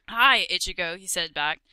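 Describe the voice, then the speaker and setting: Woman co-reader, lively pitch, unhurried and giggly, reading a silly story aloud. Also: smooth, no room sound. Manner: giggly, breaking into laughter while reading. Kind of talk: reading a parody fanfic aloud.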